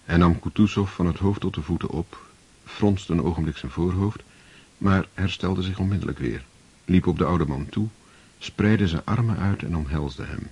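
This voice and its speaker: A man narrating a radio play in a measured, grave storytelling manner. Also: sits low, warm tone, breathy edge.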